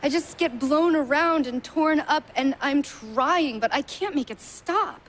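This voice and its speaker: Fragile, distraught young woman, high pitch, self-deprecating, halting delivery, live theater drama, faint noise behind. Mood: despairing. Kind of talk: live stage-play audio acting.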